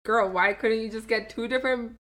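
A woman in her twenties from Canada, co-hosting a podcast in casual chat, blunt and quick to swear. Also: fairly high pitch, steady tone, a bit bright. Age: 20s. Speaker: podcast co-host.

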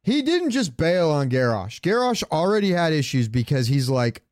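A man's voice: on the high side, very animated, normal speed. A man co-hosting a casual podcast, debating game lore in an argumentative, insistent way and often repeating himself. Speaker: podcast co-host.

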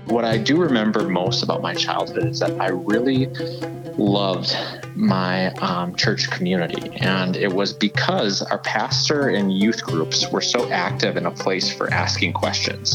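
Guy from wisconsin, lower voice, plain and candid, guitar music under it. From Wisconsin, United States. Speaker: interviewee. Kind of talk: personal storytelling.

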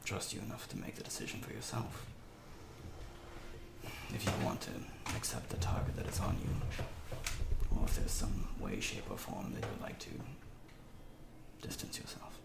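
A man playing his character at a tabletop game, soft and breathy, often half-whispering. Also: extremely low pitch, huge pitch swings, normal speed, pauses often, recorded from a distance. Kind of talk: in-character roleplay. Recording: echoey room with loud noise.

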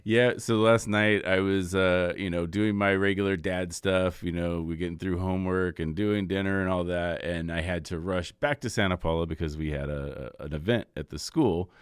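Man, very low deep voice, dry studio mic, easygoing rambling co-host. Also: normal speed, hardly any pauses, clear, resonant tone, no room sound. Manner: relaxed, rambling storytelling. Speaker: co-host, stand-up comic. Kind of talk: casual radio show chat. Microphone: studio microphone.

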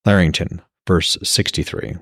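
A man's voice: sits low, steady tone, unhurried, no echo, studio quality. A man reading scripted narration and commentary as an author hosting his own audiobook podcast.

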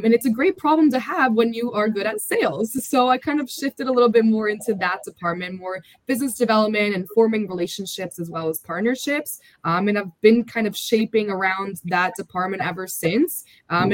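An American woman, a little under the weather, chats easily as an interview guest. Her voice sounds dry and close, with no room around it. She is upbeat and talkative, at a middle pitch. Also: brisk, few pauses, no room sound. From United States. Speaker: podcast guest. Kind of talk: casual interview talk.

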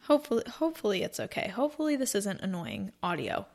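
A woman's voice: medium pitch, very animated, fast talker. A woman in her twenties hosting a casual solo podcast, chatting in a rambling, upbeat way from a cozy room. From North Carolina, US. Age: 20s. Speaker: podcast host.

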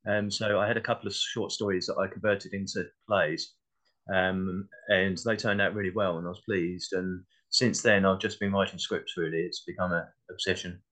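A man's voice: low-pitched, steady tone, moderate pace, a bit bright. A man speaking as an interview guest in a casual, modest and reflective way. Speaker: interview guest, playwright and actor.

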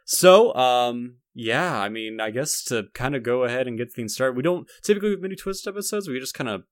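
A man's voice: medium pitch, animated, brisk, no room sound, studio quality. A man hosting a podcast in casual chat, talking in a rambling, hesitant way. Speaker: podcast host.

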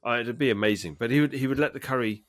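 A man's voice: medium pitch, rapid-fire, dry recording, studio quality. A British man bantering casually as a podcast co-host, jokey and colloquial with playful exaggeration.

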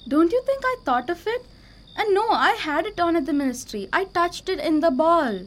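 A woman's voice: extremely high pitch, lively intonation, normal speed. A young woman playing an anxious, vain and dreamy wife in an amateur radio drama, emotional and plaintive. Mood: distraught. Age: young adult.

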